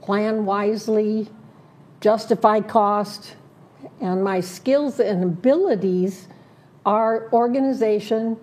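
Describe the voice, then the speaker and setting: A woman serving as a community board director, laying out her plans in an interview, listing points slowly with many fillers and restarts. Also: medium pitch, darkish tone. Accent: Southern Californian. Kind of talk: prepared statement in an interview.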